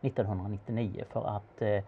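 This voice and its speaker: Gravelly-voiced man explaining, hesitant pauses. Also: on the low side, level tone, phone-quality sound, slight background noise. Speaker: car expert answering questions about an auction car. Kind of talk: casual expert commentary in a studio talk.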